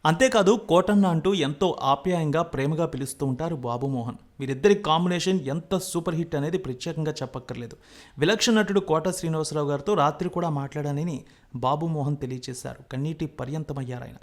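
A male news presenter with a somewhat high-pitched voice. He narrates from a script in a formal register, putting firm emphasis on key phrases. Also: fairly quick.

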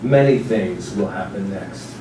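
A man narrating a literary text in a staged dramatic reading, with a measured, deliberate delivery. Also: low-pitched. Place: small theatre stage with seated audience. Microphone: distant camera microphone. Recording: echoey stage recording from far off, noisy.

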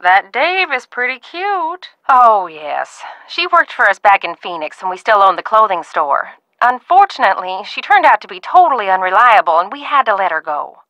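An actress playing a fussy older aunt who runs a ranch in a video game, genteel and stern, often calling people dear. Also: female voice, medium pitch, very animated, moderate pace, hardly any pauses, pushed and bright, dry recording, rough recording. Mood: chatty. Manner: prim, genteel scolding with frequent "dear".

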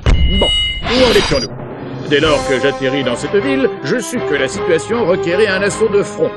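A blustering, boastful superhero. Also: male voice, high-pitched, sing-song, normal speed. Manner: blustering, self-aggrandizing. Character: boastful, cowardly, vain male superhero. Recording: game audio with loud music behind.

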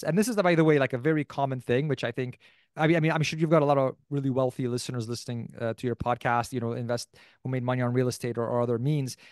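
A man's voice: mid-range voice, smooth, dry recording. A middle-aged Canadian man talking casually as an interview guest in a rambling way, into a close desk microphone in a small room. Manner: rambling, peppered with "like" and "you know". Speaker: podcast host as interview guest. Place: small indoor room, video call. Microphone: desk microphone close in front.

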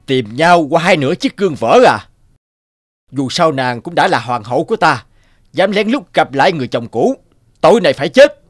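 A man's voice: fairly high pitch, sing-song, moderate pace, no room sound, studio quality, soft background music. Commanding, solemn king. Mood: stern. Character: stern but merciful king. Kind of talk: cartoon voice acting.

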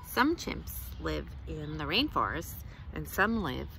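A middle-aged woman reads a picture book aloud to children outdoors, with expressive, deliberate phrasing. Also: low-pitched, vocal fry, slight background noise. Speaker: children's librarian, storytime reader. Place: outdoors in a leafy garden.

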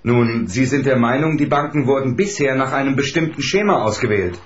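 A man's voice: medium pitch, animated, lightly acted. Man acting level-headed racing team man, echoey. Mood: serious, explaining. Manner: measured, explanatory. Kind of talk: German radio play acting. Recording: echoey, slightly dull room.

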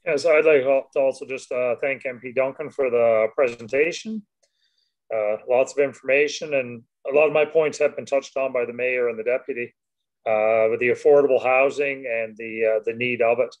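Canadian man, councillor, hesitant and halting, mid-pitched voice. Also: fairly even intonation, muffled recording. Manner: halting, many uh fillers. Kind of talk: council meeting remarks. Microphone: video call.

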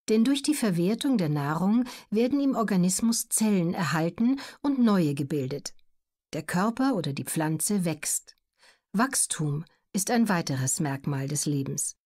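A woman narrating an educational audiobook in a measured, clearly articulated, scripted reading. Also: lowish voice, a little mellow.

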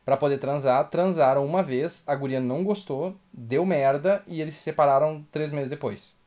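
A man's voice: fairly high pitch, moderate pace, darkish tone. A man with a southern Brazilian accent chatting casually and bluntly as co-host of a livestream. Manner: blunt, colloquial. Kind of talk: casual commentary chat. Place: small home room indoors, webcam livestream.

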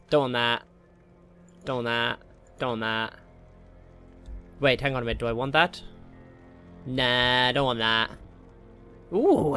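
A man gives casual Let's Play commentary at a middling pitch. He mutters to himself as he plays, dropping jokey, slangy asides, with game music running behind his voice. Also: bright, forceful tone. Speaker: gaming video host. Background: video game music.

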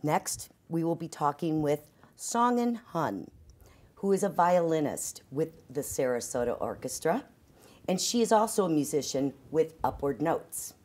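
A woman in her sixties hosting a studio talk show, giving measured introductions of her guests on a lapel mic. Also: low voice, animated, a little mellow. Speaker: host. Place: TV studio set.

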